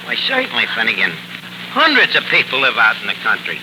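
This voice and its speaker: A man's voice: mid-range voice, wildly swooping pitch, quick pace. An actor playing a bumbling, cocky male tavern manager with a New York accent, wisecracking and mangling words in a 1940s radio comedy. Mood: salesmanlike. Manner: wisecracking, self-important malapropisms. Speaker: comedy show lead. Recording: noisy 1940s radio broadcast recording.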